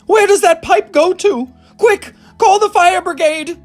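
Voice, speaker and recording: A woman's voice: extremely high pitch, unhurried, faint music behind, pitched above the performer's own voice. Piercing, hysterical shrieking mother. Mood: panicked shrieking. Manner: screaming, scolding. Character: shrill, hysterical, indignant mother.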